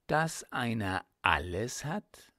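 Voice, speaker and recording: A man reciting poetry expressively, in a deliberate, theatrical manner with dramatic pauses and shifting emphasis. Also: on the low side, sing-song, creaky, sharp tone, no echo, clean studio sound. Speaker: audiobook reader of poetry.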